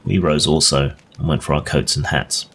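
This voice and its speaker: A man with an extremely deep voice reads an old-fashioned ghost story as a narrator. His delivery is that of a dramatic audiobook. The recording has clear background noise. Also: fairly even intonation, normal speed, a bit bright.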